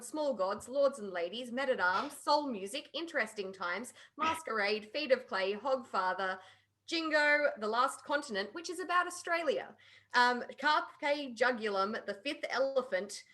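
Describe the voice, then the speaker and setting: Bright, higher-pitched woman in casual discussion. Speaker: podcast co-host.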